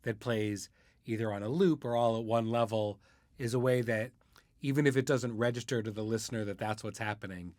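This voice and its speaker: A man's voice: lowish voice, steady tone, fairly quick, darkish tone, no room sound, clean studio sound. A man hosting a casual interview, explaining things in an informal, conversational way. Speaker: podcast host, interviewer.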